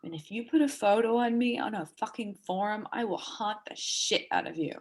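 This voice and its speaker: A woman in a dramatic reading, playing a sharp, foul-mouthed, vulnerable woman with a halting, blunt delivery. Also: medium pitch, natural voice. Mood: angry, bitter. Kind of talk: dramatic reading of a stage play dialogue.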